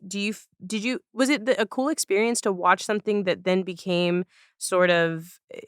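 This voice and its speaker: A woman's voice: medium pitch, fairly even intonation, normal speed, smooth, dry recording, clean studio sound. A woman hosting a casual podcast chat, feeling her way into questions with restarts. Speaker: podcast host.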